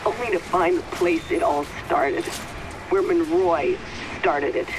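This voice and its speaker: A man's voice: on the high side, unhurried, background noise, lightly acted. An actor playing a frustrated, skeptical man in a video game, asking questions in an exasperated way. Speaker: game character. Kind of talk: video game cutscene acting. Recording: game mix with loud ambience.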